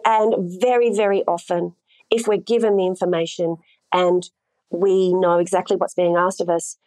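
A woman, an intimacy coordinator explaining her work in a measured, thoughtful way and often posing questions to herself. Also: on the low side, fairly even intonation, dark tone, dry recording, poor audio. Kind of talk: conversational interview answers.

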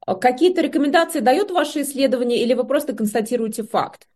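Woman interviewer, slightly high voice, calm steady questions. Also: fast talker.

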